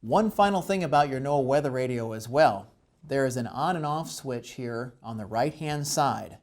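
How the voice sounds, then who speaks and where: A man presenting a step-by-step instructional demonstration, explaining each step deliberately. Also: medium pitch, lively intonation.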